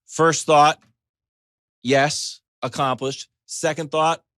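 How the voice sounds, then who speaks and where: A man in his forties, a sports talk host, telling a comedic story in a casual, animated way with choppy asides and acted-out quotes. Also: a little high, takes it slowly, no room sound, clean studio sound. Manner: animated, acts out quotes in dialogue.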